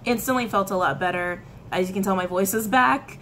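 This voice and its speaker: A woman's voice: medium pitch, fairly quick. Thirties woman reading tarot to camera, chatty and repetitive, lots of hand talking, small plain room, bit of background hiss. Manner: chatty, animated hand gestures, frequent laughs and repetitions. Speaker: tarot reader. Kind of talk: casual spiritual reading to camera. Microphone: camera in front, head and shoulders framing.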